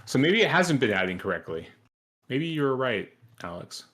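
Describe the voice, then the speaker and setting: A man running a tabletop game session as game master, halting and full of fillers, sometimes muttering to himself. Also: medium pitch, sing-song, a bit bright.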